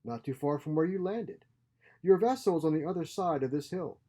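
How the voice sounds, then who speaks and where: A man's voice: a little high, lively intonation, moderate pace, mellow, voice pushed up. A man voicing a genial grandfatherly scientist for a story, courteous, proud and theatrical in manner. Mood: proud, enthusiastic. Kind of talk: storybook character voice.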